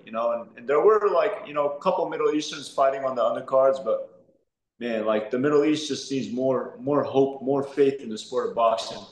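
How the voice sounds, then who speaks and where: A young man, a professional boxer, giving earnest interview answers that stumble and repeat words often. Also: mid-range voice. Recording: roomy echo.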